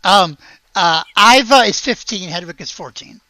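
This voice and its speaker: A woman's voice: lowish voice, expressive, moderate pace, sharp tone, dry recording, rough recording. A woman acting as game master, narrating a tabletop game casually and hesitantly, as if thinking aloud.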